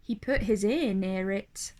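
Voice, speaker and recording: A woman reading a rhyming children's story aloud, expressive, deliberate and theatrical. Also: medium pitch.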